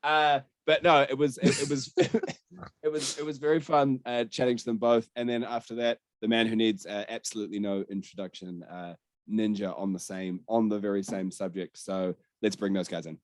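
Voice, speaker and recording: A man's voice: on the low side, fairly even intonation, normal speed, no echo. A man hosting, chatting casually and putting questions to guests. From New Zealand. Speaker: podcast host and interviewer. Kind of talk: casual podcast chat and interviewing.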